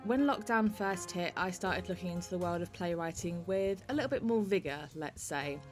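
A woman's voice: lowish voice, normal speed, soft background music. A woman with a British accent hosting a podcast in a wry, self-deprecating way, partly scripted and partly chatting. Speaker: podcast host. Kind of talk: scripted introduction and friendly interview.

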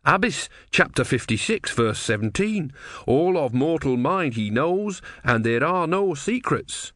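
An anxious young novice, played by a male reader, pitched well above his narrating voice. He is meek, devout and hesitant, earnest in everything he says. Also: big pitch swings, measured pace, fairly bright tone, no echo, studio quality. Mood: earnest, hurt.

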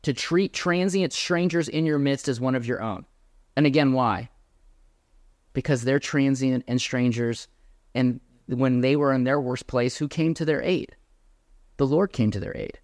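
Male pastor, emphatic sermon delivery, middle pitch, dry close sound. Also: measured pace, darkish tone, no room sound. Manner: earnest, emphatic, addresses the congregation directly.

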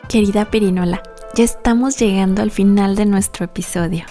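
A woman hosting a children's podcast, telling a history story in a gentle, simple storytelling way. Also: medium pitch, fairly even intonation, a little fast, soft background music.

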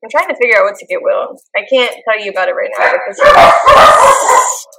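A young woman co-hosting a podcast, chatting casually and gushing with animated energy. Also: a little high, gravelly, heavy background noise. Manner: animated, gushing, quick laughs. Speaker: podcast co-host. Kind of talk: casual chat.

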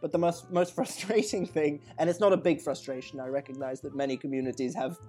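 A man's voice: on the high side, steady tone, breathy edge, soft background music. Reflective man, casual podcast co-host. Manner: reflective, measured, with frequent pauses and soft laughs. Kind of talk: casual conversation.

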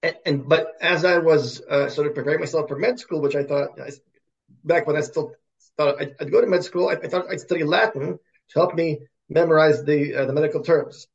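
Gravelly Russian-born man, halting academic talk. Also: a little high, steady tone, highs cut off. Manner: halting, repeats words and restarts often. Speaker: podcast guest, computer science professor. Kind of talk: conversational interview answers.

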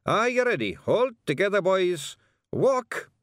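A man's voice: sits high, sing-song, measured pace, fairly bright tone, no room sound, clean studio sound, pitched above the performer's own voice, heavily acted. Rob Anybody, a bossy little Scottish chief, as a man puts him on. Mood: commanding. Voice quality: gruff, barking orders. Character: small rowdy male Feegle chief, bossy.

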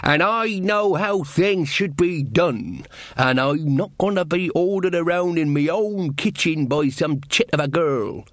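A woman's voice: on the low side, unhurried, no echo, clean studio sound, voice pushed up, close to an everyday voice. A man voicing an indignant, stubborn older female cook in a storybook reading.

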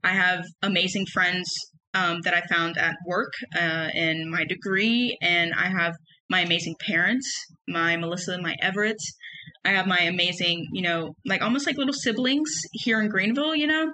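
A young woman with a loud, emphatic voice, telling personal stories in a casual solo podcast. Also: fairly low pitch, normal speed, a little hiss or hum, poor audio. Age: young adult. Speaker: podcast host.

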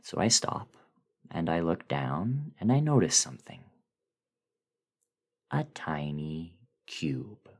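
A man giving a dramatic, suspenseful first-person reading of a horror story, with theatrical pauses. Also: deep voice, big pitch swings. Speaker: guest reader of a horror story.

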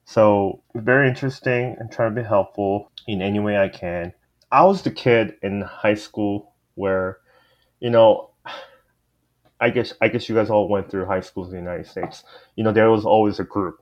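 A very low-voiced man with a Korean accent talks in an easygoing, chatty way, sprinkling his sentences with "you know". The recording sounds dull and lo-fi. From South Korea. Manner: easygoing, laughs often, fillers like "you know". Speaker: guest. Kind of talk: casual panel discussion.

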